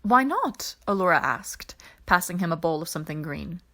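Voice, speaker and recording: A woman's voice: sits low, fairly quick, fairly bright tone. A woman narrating scripted fiction as an audiobook reader, giving voices to the characters.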